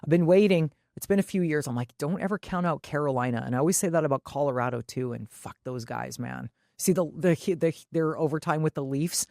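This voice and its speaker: A woman's voice: low voice, a little fast, vocal fry, hardly any pauses, warm tone, no room sound. A woman talks as a podcast co-host in casual sports chat. She is blunt and slangy with a salty edge, and the sound is dry and close.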